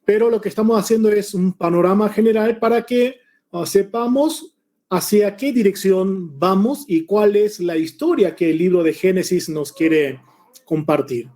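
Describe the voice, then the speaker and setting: Soft-toned man, mixed Argentine-Mexican Spanish. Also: high voice, normal speed. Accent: mix of Argentine and Mexican Spanish. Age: 40s. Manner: relaxed, chatty, with frequent hesitations. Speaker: missionary Bible teacher. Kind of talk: Bible study lesson over a video call.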